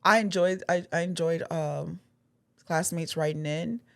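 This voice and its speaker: A woman's voice: lowish voice, fairly even intonation, measured pace, creaky, fairly bright tone. A woman co-hosting a podcast in casual chat, talking earnestly and circling back over her words. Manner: earnest, rambling with many restarts and fillers. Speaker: podcast co-host.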